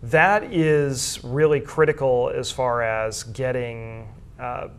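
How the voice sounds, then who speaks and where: A man answering interview questions as a school sports information director, conversational, measured and explanatory. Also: mid-range voice, smooth.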